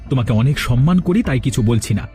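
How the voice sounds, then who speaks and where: A man's voice: mid-range voice, expressive, very fast, warm tone, soft background music, close to an everyday voice. A man voicing a scheming, brazen young village man in a melodramatic cartoon, insolent and theatrical. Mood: defiant.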